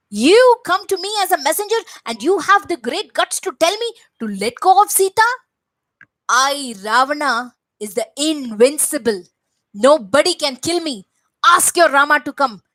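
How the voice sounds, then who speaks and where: A woman's voice: very high-pitched, dry recording, pitched above the performer's own voice, poor audio. Ravana, a woman voicing a roaring demon king. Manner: roaring, haughty, scornful. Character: furious arrogant demon king, male, ten-headed.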